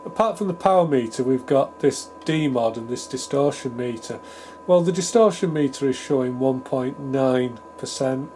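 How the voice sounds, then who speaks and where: A man's voice: medium pitch, moderate pace, a little mellow. Man thinking aloud over a noticeable hum. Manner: thinking aloud, tentative, self-deprecating. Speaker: hobbyist radio repair presenter. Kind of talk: casual, improvised technical demonstration. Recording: clear background noise with hum.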